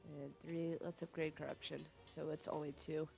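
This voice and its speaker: A young woman sniffly with a cold, thinking aloud in low-key, casual commentary as she plays a video game. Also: flat delivery, normal speed, gravelly, warm tone. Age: young adult. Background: game music.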